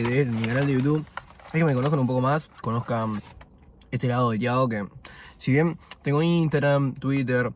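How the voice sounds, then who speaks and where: Chatty young man from Buenos Aires. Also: medium pitch. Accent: Argentine Spanish, Buenos Aires. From Argentina. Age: 20s. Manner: relaxed and chatty, many fillers, talking with his mouth full at times. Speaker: vlogger. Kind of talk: casual first-person vlog while eating. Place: indoors, eating fast food.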